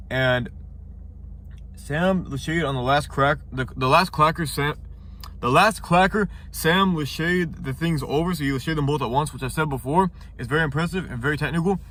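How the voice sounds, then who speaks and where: A young man talks quickly and casually, his voice nasal and stuffed up from congestion. He rambles through his points with restarts and fillers, pitched a little high. A faint background noise sits under the recording. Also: a bit bright. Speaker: ninja competitor reviewing episodes. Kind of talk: casual commentary review.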